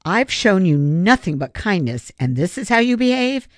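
Woman acting as fierce schoolgirl, indignant animal lover, emphatic scolding, lively pitch swings, storybook character, dry close recording. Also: moderate pace, dry recording, natural voice. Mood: furious, outraged.

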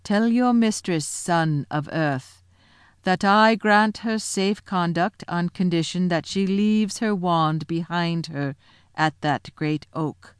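A woman voicing a majestic male lion king in a fantasy story, grave and measured, with a low growl. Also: clean tone, no room sound, clean studio sound. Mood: calm authority. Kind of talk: audiobook fantasy character voice.

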